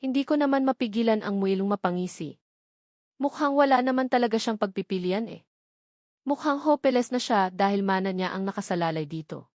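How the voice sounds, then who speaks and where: A woman's voice: medium pitch, a little fast, darkish tone, no room sound, clean studio sound. A woman reading a first-person romance novel aloud as scripted narration. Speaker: audiobook narrator.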